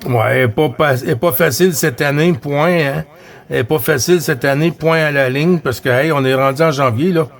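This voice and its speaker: A man with a Quebec French accent and a voice a bit higher than average. He talks as a CB radio operator in an easygoing, conversational way. His delivery is halting, with phrases often said twice. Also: creaky. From Quebec, Canada. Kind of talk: casual radio chat with greetings.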